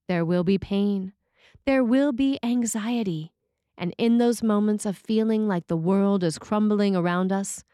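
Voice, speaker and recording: Female priest, measured formal sermon reader, mid pitch, dry recording. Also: warm tone, no room sound. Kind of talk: scripted sermon reading.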